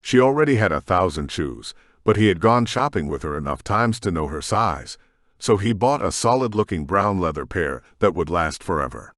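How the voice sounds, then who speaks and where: Low-voiced man narrating an audiobook. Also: lively intonation, moderate pace, fairly bright tone, no room sound, studio quality. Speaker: narrator and author.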